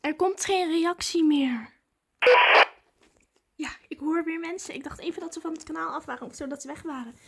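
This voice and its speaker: A woman, chatting casually and excitedly to viewers as a vlogger. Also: sits high, moderate pace, creaky.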